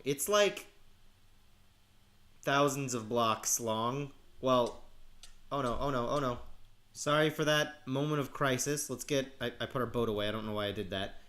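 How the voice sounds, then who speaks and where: A man narrating his survival game casually while sick, his voice gravelly and cracking, rambling with halting restarts. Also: medium pitch, fairly bright tone. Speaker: let's play host. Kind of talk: casual gameplay commentary.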